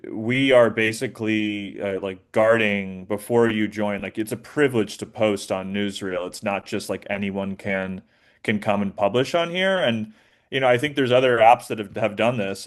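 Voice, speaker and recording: A man answering as an interview guest, talking informally and haltingly with many filler words. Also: fairly low pitch. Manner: informal, halting, frequent "like" and "you know". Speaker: interview guest, app founder. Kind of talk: conversational interview.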